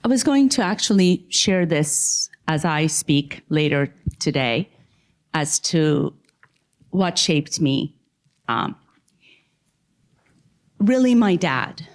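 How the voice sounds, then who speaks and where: A woman from Iran tells a personal story as a panelist. Her voice sits a little low, and she speaks in a measured, emphatic way, stretching key words slowly. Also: big pitch swings.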